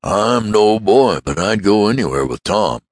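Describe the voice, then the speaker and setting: A man voicing an odd, eager older gentleman who talks in a fussy, exclamatory way. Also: sits low, sing-song, a little slow, creaky, no room sound, clean studio sound, pitched above the performer's own voice, exaggerated voice.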